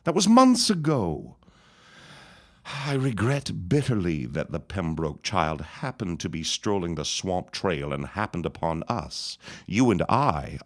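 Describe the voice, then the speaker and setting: A scheming reverend with a menacing, gloating manner. His voice sits very low and swings widely in pitch. He draws every word out slowly, as in an old-time radio play. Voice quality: deep, slow, drawn-out menace. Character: scheming sinister small-town reverend, gloating. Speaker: Reverend Gaumont. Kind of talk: radio drama acting.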